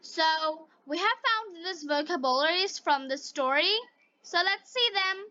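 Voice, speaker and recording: A woman with a bright, high voice delivers scripted narration for English learners. She goes slowly, in the manner of a teacher. The recording is dry and somewhat lo-fi. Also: no echo. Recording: dull lo-fi recording.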